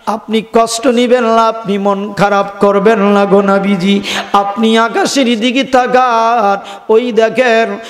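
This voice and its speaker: A man preaching a melodic, half-chanted storytelling sermon with impassioned, drawn-out calls to his listeners. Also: sits high, little pitch movement, soft background music. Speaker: Islamic preacher. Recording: long hall reverb, loud PA sound.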